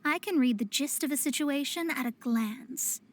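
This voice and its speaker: High female voice, haughty young astrologist, anime game character, lofty archaic diction, proud and theatrical, acted by a woman. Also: moderate pace, natural voice. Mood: smug, lecturing. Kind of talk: anime-style video game voice acting.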